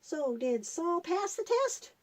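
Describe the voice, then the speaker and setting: A woman's voice: extremely high pitch, very animated, slow pace, voice pushed up, heavily acted. A woman voicing an inquisitive young boy puppet who asks short, eager questions in a children's story. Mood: curious.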